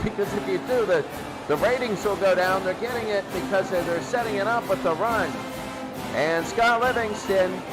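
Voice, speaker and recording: High-voiced man calling football play-by-play. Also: rough recording. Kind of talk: live play-by-play sports commentary.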